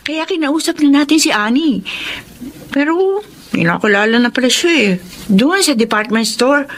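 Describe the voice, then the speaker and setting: An actress playing a meddling young matchmaker in a Tagalog romantic radio drama, insistent and gossipy. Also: female voice, high-pitched, lively intonation, normal speed. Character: Lily, meddling matchmaking young female friend.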